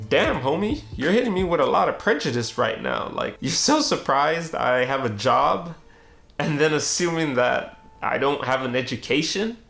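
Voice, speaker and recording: A man's voice: on the high side, animated, normal speed, vocal fry, fairly bright tone, soft background music. A young man, a street photographer, telling a personal story in a casual, conversational way and acting out both sides of the dialogue. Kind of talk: reflective storytelling narration.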